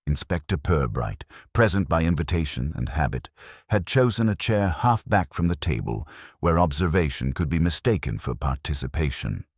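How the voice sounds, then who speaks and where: A man reading scripted literary mystery narration in a measured, dry, wry manner. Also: very deep voice, lively intonation, no room sound.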